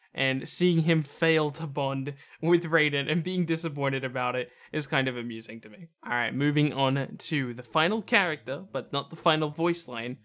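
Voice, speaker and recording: A man's voice: medium pitch, a bit bright. A man hosting a video countdown with casual, opinionated, conversational commentary. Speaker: video host.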